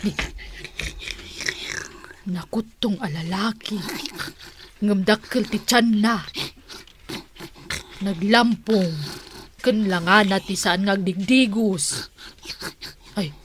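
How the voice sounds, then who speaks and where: A woman's voice: mid-range voice, takes it slowly, long pauses, slight background noise, lightly acted. A woman with a Filipino accent playing a suspicious, bossy woman of the house in a comic radio drama. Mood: muttering, suspicious. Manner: bossy, scolding.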